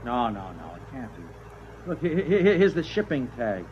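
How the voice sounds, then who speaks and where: Man playing an excitable, stammering radio-drama husband. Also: medium pitch, normal speed, close to an everyday voice. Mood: distracted, eager. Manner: stammering, flustered, repeats himself. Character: excitable, hesitant suburban husband and gadget-building hobbyist. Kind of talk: 1950s radio drama acting. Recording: dull vintage 1950s radio recording, background noise.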